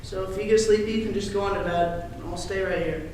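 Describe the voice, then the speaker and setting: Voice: mid-range voice, steady tone, a little fast, a little mellow, natural voice. A distant, echoey stage recording of a young actor playing an awkward boy suitor. He sounds prissy and proper in a school play, with faint noise around him. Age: teenage or young adult.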